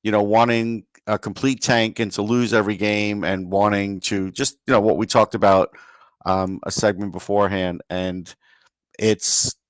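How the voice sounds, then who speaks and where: A man's voice: on the low side, flat delivery, moderate pace, clean tone, dry recording. A man co-hosting a sports podcast, giving casual, opinionated commentary in a plain-spoken way.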